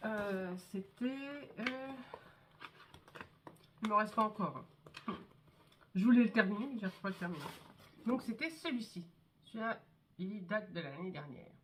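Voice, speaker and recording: A woman with a cold showing her scrapbook albums in a casual, chatty way, with many fillers and pauses. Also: mid-range voice, lively intonation, slight background noise. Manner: chatty, hesitant, many fillers and "hop, voilà". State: has a cold, congested. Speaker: scrapbooking vlogger. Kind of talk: casual show-and-tell craft video.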